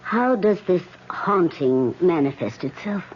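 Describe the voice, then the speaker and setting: A grave séance medium in an old radio play. She speaks slowly and deliberately, often sinking to a breathy hush. The recording is dull, with some hiss. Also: on the low side, animated. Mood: grave, careful. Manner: slow, deliberate, portentous. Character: solemn woman spirit medium holding seances. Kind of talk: 1970s radio drama acting.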